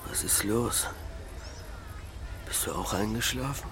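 An actor playing a middle-aged husband in a radio drama, groggy and matter-of-fact, muttering breathily. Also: male voice, on the low side, takes it slowly. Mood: groggy, puzzled. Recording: outdoor ambience noise with insects. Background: outdoor ambience, crickets.

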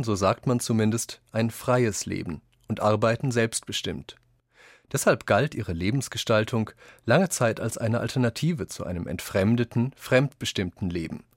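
A man reads scripted quotations in a radio essay, in a measured, deliberate and articulate manner. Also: fairly low pitch, dry recording, studio quality.

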